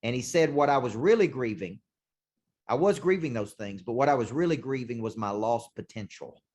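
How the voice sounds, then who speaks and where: A man, a sales coach, giving an intense motivational talk with punchy repetition, slowing down to hammer key points. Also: medium pitch, animated, darkish tone. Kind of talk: motivational talk to a show host. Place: condo.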